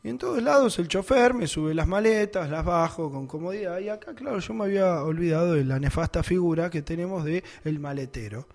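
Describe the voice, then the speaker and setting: Animated Argentine man telling travel stories. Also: high voice, a little fast, few pauses. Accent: Argentine Spanish. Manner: animated anecdote-telling, laughs often. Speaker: guest. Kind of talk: casual storytelling in conversation.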